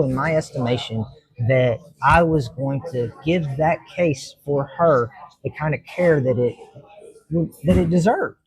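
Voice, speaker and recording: A middle-aged man with a Kentucky accent, a judge talking earnestly as a podcast guest, pausing often and restarting his sentences. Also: medium pitch, darkish tone, poor audio. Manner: earnest, halting, many fillers and restarts. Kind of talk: casual interview talk.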